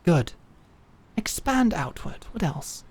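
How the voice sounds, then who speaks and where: A woman acting in an audio drama as a calm, commanding knight who mentors with measured, deliberate instruction and encouragement. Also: on the low side, slightly rough, full character voice. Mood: calm, guiding. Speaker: voice actor.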